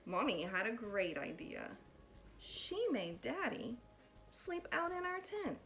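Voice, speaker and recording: A woman reading a picture book aloud to children in an expressive, deliberate storytelling manner, like a teacher addressing pupils. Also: fairly high pitch, soft background music.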